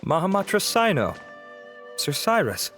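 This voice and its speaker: Brisk, higher-voiced guard officer. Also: male voice, huge pitch swings, a bit bright, heavily acted. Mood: dutiful. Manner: brisk, formal. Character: dutiful, respectful male guard officer. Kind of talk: video game dialogue acting. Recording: long reverb, faint music.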